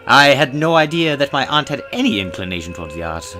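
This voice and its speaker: A man's voice: medium pitch, big pitch swings, fast, pushed and bright, pitched above the performer's own voice. A man voicing an anxious, affected count in a horror story, with florid and formal speech. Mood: genteel, effusive. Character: affected, anxious male count, a genteel heir. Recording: music behind the voice.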